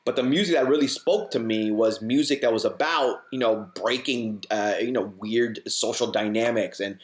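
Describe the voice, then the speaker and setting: A man's voice: mid-range voice, normal speed. Rambling man in a roomy echo. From Hong Kong. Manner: rambling, lots of "you know" and restarts. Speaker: guest, hardcore band frontman. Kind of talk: casual interview chat.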